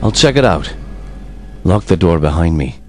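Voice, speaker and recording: A man's voice: low voice, expressive, normal speed, soft background music. A man acting as a steadfast, loyal occult investigator in a video game cutscene. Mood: earnest, resolute.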